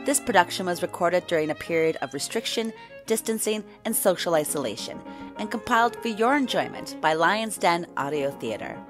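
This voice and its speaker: A woman's voice: on the low side, animated, fairly quick, music in the background. A woman reading a scripted introduction as announcer for an audio theatre production.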